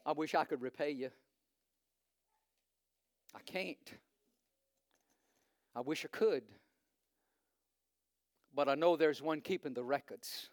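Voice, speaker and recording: A man's voice: on the high side, slow pace, pauses often, dry recording, clean studio sound. A man from Virginia with a Virginian accent, preaching a sermon as a visiting preacher, fervent and building to emphatic repetition. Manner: fervent, rising to emphatic preaching with frequent uh fillers.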